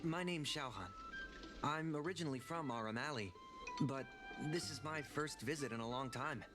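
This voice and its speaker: A man's voice: mid-range voice, normal speed, music in the background, natural voice. A man playing an easygoing, wistful young food lover in a video game, laid-back and musing. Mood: wistful, friendly. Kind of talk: video game character acting.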